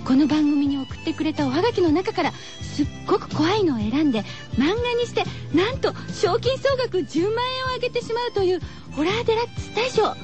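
Female radio presenter, high voice, playful and cutesy, chatty studio delivery, light music behind. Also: expressive, brisk. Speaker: radio show host.

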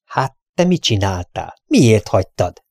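A domineering bandit boss from a western storybook, played by a male narrator. He is gruff, angry and bullying, pushing his pitch up over a creaky edge. The recording is close and dry. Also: sing-song, a little mellow, no echo, heavily acted. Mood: furious.

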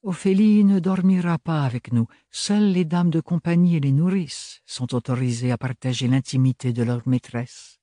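A man's voice: fairly high pitch, normal speed, few pauses, warm tone, dry recording, clean studio sound, pitched above the performer's own voice, close to an everyday voice. A man voicing a tired, elegant noblewoman who speaks in a honeyed, mocking way. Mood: languid. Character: weary elegant noblewoman, sly and languid.

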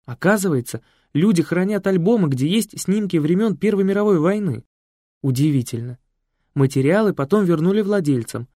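A talkative, kindly village hostess chatters along with animated pitch swings, as a male audiobook narrator puts her on. The sound is close and dry. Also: normal speed, no room sound. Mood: chatty. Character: chatty, kindly village woman, a priest's daughter. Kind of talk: audiobook character voice.

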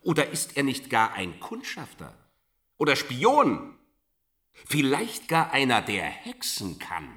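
An actor playing a suspicious, threatening regimental judge from the Thirty Years' War, questioning formally and pedantically in a radio play. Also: male voice, medium pitch, huge pitch swings, takes it slowly, a bit bright, recorded from a distance, exaggerated voice. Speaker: military magistrate interrogating a prisoner.

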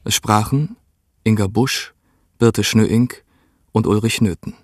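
Measured male radio announcer, slow and dry. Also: lowish voice, darkish tone, dry recording. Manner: formal, measured. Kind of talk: scripted radio credits.

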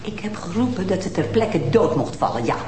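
An actress playing a defensive, indignant suspect during a police interrogation. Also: female voice, big pitch swings, a little fast, mellow. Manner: defensive, indignant, rising to shrill denials. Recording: roomy TV soundtrack with faint noise.

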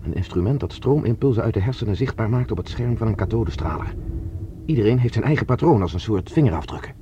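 A dull, slightly hissy radio play recording of a man playing a determined fugitive scientist. He talks fast in a low, mellow voice. He sounds urgent, explaining and plotting like a science fiction hero. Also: lively intonation, close to an everyday voice. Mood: urgent, resolute. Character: determined male scientist on the run, plotting escape. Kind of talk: Dutch science fiction radio drama acting.

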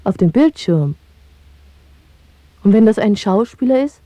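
An actress playing a defiant, sensual young woman in an intimate radio drama, coaxing and irreverent in a hushed voice. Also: mid-range voice, animated, a little slow, no echo. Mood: mocking.

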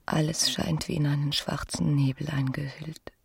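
A woman's voice: sits low, steady tone, moderate pace, vocal fry, a little mellow, breathy edge, no room sound, clean studio sound, heavily acted. A woman playing a melancholy, romantic provincial young wife in a radio play's inner monologue, wistful and dramatic. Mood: wistful grief.

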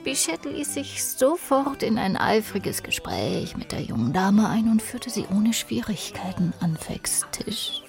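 A woman's voice: mid-range voice, sing-song, a little slow, creaky, hardly any pauses, whispered, music in the background. A woman narrating a radio play in scripted literary prose.

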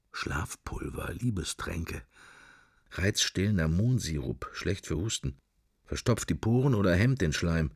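A man's voice: deep voice, a little mellow, husky, dry recording, clean studio sound, heavily acted. An actor playing a middle-aged man musing wryly to himself in clipped, wandering thoughts in a literary radio play.

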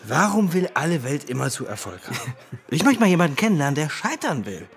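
An actor playing a careless, mocking rich young drinker with playful philosophising, in a German radio play. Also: male voice, a little high, very animated, fast, gravelly. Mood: musing.

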